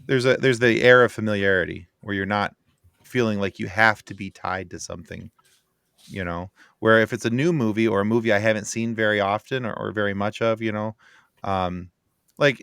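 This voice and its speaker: A man's voice: on the low side, gravelly, a bit bright, smooth, no echo, studio quality. A man in a casual podcast discussion, rambling along conversationally. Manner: rambling, frequent "you know". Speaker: podcast panelist.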